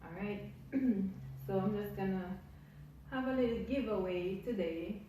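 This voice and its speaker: A woman's voice: medium pitch, unhurried, mellow, heavy reverb, far from the mic, slight background noise, rough recording. A Jamaican woman vlogging casually to her camera, effusive and repetitive as she piles up her thanks. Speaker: YouTuber. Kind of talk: casual vlog talk to camera.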